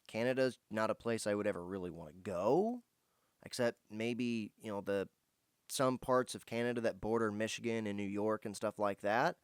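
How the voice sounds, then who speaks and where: Clear-voiced man, casual vlogger. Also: medium pitch, no room sound, clean studio sound. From United States, Indiana. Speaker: host of a foraging and herbal channel. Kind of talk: casual vlog, answering viewer questions.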